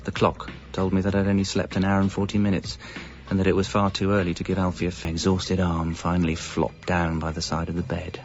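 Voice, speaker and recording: A man's voice: sits low, monotone, fairly quick, few pauses, lo-fi sound, soft background music. A British man acting as a weary, frustrated, put-upon father in a radio comedy, with wry, self-pitying delivery. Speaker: narrator and lead actor. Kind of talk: radio comedy monologue and dialogue.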